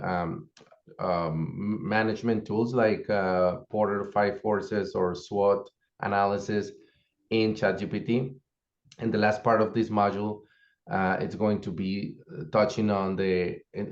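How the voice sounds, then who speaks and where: A man with a fairly low voice walks through a course outline, listing one item after another at an even, explanatory pace. He is on a video call, and his voice sounds dull and lo-fi. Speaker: course instructor.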